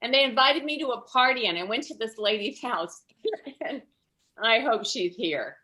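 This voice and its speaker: A middle-aged woman telling stand-up stories in a conversational way, with dramatic pauses. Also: fairly high pitch, lively intonation, pushed and bright. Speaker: stand-up comedian. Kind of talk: stand-up comedy storytelling. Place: online video event.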